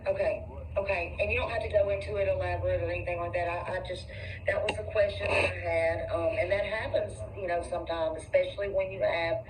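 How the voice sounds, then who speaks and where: A woman's voice: lowish voice, fairly even intonation, fast, background noise. A woman conducting a casual interview, hesitant and stumbling as she forms her questions.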